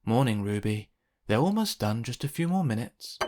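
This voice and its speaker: A man voicing a patient, reassuring mother in a children's storybook, gently reasoning. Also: mid-range voice, very animated, moderate pace, a little hiss or hum, close to an everyday voice. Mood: cheerful.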